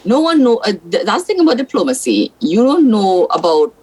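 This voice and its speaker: A woman's voice: medium pitch, very animated, brisk, a little mellow, slightly rough. A woman from South Trinidad with a Trinidadian accent, a senator giving blunt, frank opinions as a guest on a political talk show.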